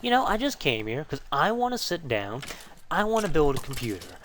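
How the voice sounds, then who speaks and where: A man's voice: fairly high pitch, huge pitch swings, a little fast, fairly bright tone, a little hiss or hum. A young man giving a casual, rambling update to viewers, often restarting sentences and putting himself down. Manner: self-deprecating, rambling with false starts. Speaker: tutorial video creator.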